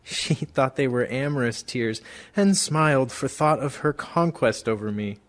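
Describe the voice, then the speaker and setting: A man's voice: mid-range voice, expressive, measured pace, lightly acted. A man playing a grateful, remorseful man of the world, delivering an earnest, reflective radio play monologue. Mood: wistful.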